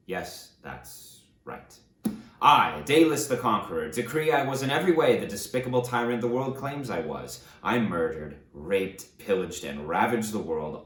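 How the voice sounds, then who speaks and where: A very low-voiced aged conqueror, acted by a man, full of guilt and self-loathing. He delivers his confession like a dramatic audiobook, solemn and deliberate. The sound rings with noticeable room echo. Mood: bitter self-loathing. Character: aged fallen tyrant conqueror, remorseful, self-loathing, grandiose.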